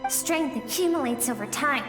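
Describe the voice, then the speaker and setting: A woman voicing a gentle female spirit guide in a video game, speaking in a measured, wistful, explaining way. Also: high voice, animated. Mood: curious, explaining. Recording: echoey reverberant voice, music behind.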